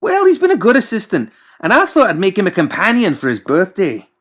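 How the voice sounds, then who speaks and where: A man voicing an exasperated, excitable mad doctor in an audiobook, snappish, fussy and defensive. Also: high voice, a little fast, voice pushed up, full character voice. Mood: apologetic, chatty. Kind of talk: audiobook character voice.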